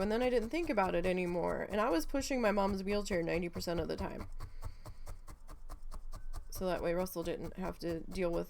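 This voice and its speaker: Gravelly-voiced woman chatting casually. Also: lowish voice, a little mellow, a little hiss or hum. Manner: rambling, full of fillers, swears freely. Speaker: craft vlogger. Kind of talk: casual chat while needle felting.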